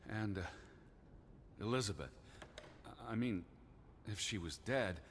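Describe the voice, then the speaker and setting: An actor voicing an earnest, anxious American naturalist of the 1920s in a video game, with a formal, literary way of speaking. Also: male voice, fairly low pitch, lively intonation, takes it slowly, a little hiss or hum. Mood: somber.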